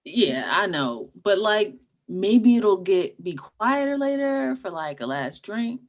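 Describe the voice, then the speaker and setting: A woman's voice: mid-range voice, very animated, slow. A woman playing a laid-back, teasing friend who talks in casual slang, in a comedy table read. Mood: sheepish.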